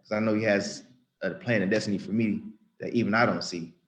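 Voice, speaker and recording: A man's voice: lowish voice, fairly even intonation, fairly quick. A man around thirty giving an earnest, rambling spoken testimony in church, often restarting and repeating himself. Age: about 30.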